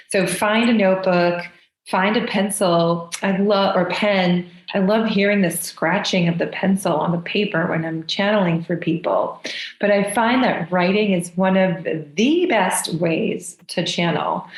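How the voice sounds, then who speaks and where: A woman's voice: medium pitch, roomy sound, poor audio. A woman from the United States, a guest channeler, chatting in an effusive and enthusiastic way. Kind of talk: casual interview chat.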